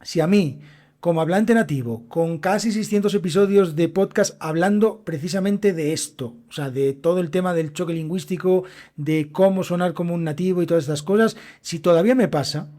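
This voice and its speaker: Mellow-voiced man with a Castilian accent. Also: high voice, a little fast. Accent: Castilian Spanish. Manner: direct, explanatory, speaks straight to learners. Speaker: podcast host and Spanish teacher. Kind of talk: solo educational podcast monologue.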